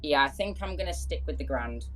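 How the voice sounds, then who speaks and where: A man's voice: fairly high pitch, animated, a little fast. A man playing as a quiz contestant, giving casual, hesitant replies. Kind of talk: casual game show replies. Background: background music.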